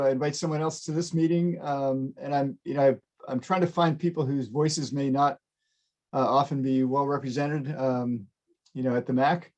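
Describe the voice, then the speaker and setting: A man runs a community advisory meeting over a video call, his voice sitting a touch high for a man. He talks haltingly, with many fillers and restarts. The sound is ordinary video call audio. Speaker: meeting chair. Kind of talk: virtual meeting chairing.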